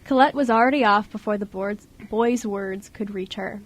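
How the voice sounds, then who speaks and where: A woman's voice: medium pitch, normal speed. A woman reading scripted story narration as narrator of an amateur radio play. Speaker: radio show co-host and narrator.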